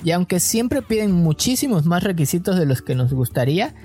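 A man with a Mexican coastal accent co-hosts a casual podcast. He tells stories in a relaxed, slangy way. Faint music plays behind him. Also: high voice, fairly quick, husky. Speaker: podcast co-host.

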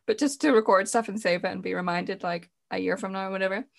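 A woman's voice: medium pitch, fast talker, gravelly. A woman in her twenties co-hosting a podcast, chatting in an informal, reflective and agreeable way. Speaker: podcast co-host. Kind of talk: casual chat.

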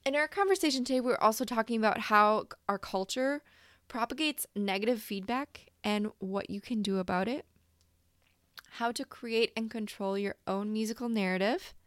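A woman's voice: a little high, moderate pace, fairly bright tone, no echo, studio quality. A woman hosting a singing podcast, reading an upbeat intro and then interviewing a guest. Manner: upbeat, enthusiastic. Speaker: podcast host.

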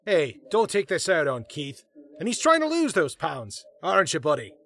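A man a cocky, flirtatious political staffer in a comedy drama. His delivery is lively and excitable, jumping up and down in pitch as he gets indignant. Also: normal speed, heavily acted. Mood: wry, glib. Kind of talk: fast comedy audio drama dialogue.

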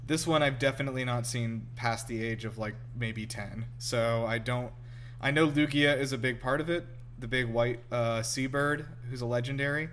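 A man's voice: mid-range voice, steady tone, normal speed, fairly bright tone, slight background noise. A man hosting a casual movie reaction show, chatty, informal and enthusiastic. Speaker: podcast host. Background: film music playing.

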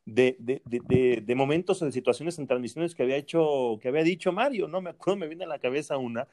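A man's voice: medium pitch, fast talker, a little mellow, no echo. A man, a sports journalist, reminiscing with anecdotes and quoting a friend in a raised voice. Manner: laughing anecdotes, quotes in a raised voice. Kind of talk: reminiscing in conversation.

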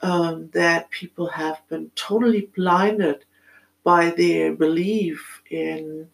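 A middle-aged woman with a German accent, speaking in a reflective, halting solo monologue as a podcast host, with many pauses. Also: lowish voice, fairly even intonation, vocal fry, low-quality recording.

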